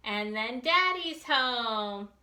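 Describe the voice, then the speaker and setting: A woman reading a picture book aloud for young children, expressively and with deliberate enunciation. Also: fairly high pitch, animated, sharp tone, reverberant. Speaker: children's librarian storytime reader.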